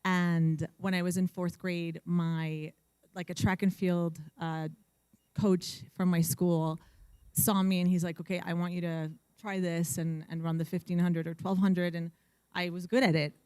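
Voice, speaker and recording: A woman's voice: lowish voice, level tone, measured pace, darkish tone, clean tone, no echo, clean studio sound. A woman from Colombia, reflecting on her own story as an interview guest. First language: Spanish. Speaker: guest, runner and immigration lawyer. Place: live event stage.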